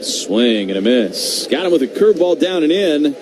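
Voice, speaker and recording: A man's voice: on the high side, sing-song, moderate pace, heavy background noise. A man calling baseball play-by-play live on the radio. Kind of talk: live radio sports commentary.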